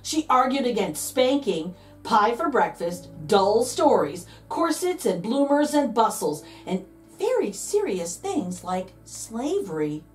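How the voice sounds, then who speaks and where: A woman's voice: on the high side, very animated, slow, distant mic, soft background music, poor audio. A woman reading a picture book aloud to children, dramatic and playful, drawing out key words for emphasis. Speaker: read-aloud host, storyteller.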